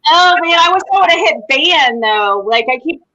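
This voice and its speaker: A woman guest on a livestream panel speaks in a high, bright voice that swoops up and down. She talks slowly and haltingly, with lots of fillers. She giggles often. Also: lively intonation, rough recording. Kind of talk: casual panel chat.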